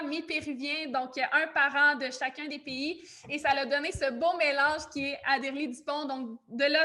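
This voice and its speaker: A woman's voice: high voice, pushed and bright. A woman in her thirties with a Québécois French accent, hosting an informal online webinar in an effusive, welcoming way. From Quebec, Canada. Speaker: webinar host and business coach.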